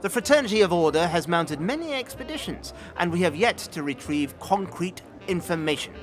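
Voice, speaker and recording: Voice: medium pitch, animated, fairly quick, natural voice. An actor playing a nervous, bookish older male scholar in a fantasy audio drama, hesitant, courteous and pedantic in formal phrasing. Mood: earnest, lecturing. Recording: music behind the voice. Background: music.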